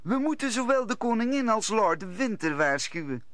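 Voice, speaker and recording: An excitable, plotting musketeer in a vintage radio play. His voice is high and hugely animated, with a dry, close sound. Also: moderate pace, no echo. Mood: conspiratorial. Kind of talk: old Dutch radio play acting.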